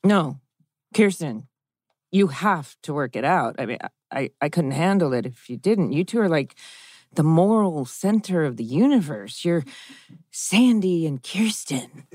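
A woman's voice: low voice, very animated, a little slow, a little mellow, dry recording, clean studio sound, exaggerated voice. A woman reading a candid middle-aged mother confiding in her married friend at a TV drama table read. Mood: earnest.

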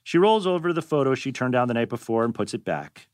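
A man's voice: mid-range voice, big pitch swings, quick pace, no room sound. A man reading stage directions as the narrator of a scripted table read.

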